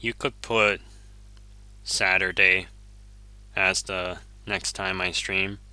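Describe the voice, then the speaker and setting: Male game streamer, Japanese first language; deep, very bright and forceful tone, relaxed self-correcting chatter over faint game music. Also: slow pace, clear, resonant tone, no room sound. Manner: easygoing, chuckles often, self-correcting. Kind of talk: casual gameplay commentary, reading chat.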